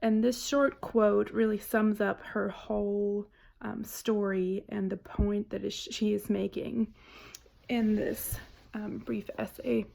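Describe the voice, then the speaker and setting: Slow, flat woman, gravelly. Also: mid-range voice. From Germany. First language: German. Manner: hesitant, frequent "um" pauses. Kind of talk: spoken reading response.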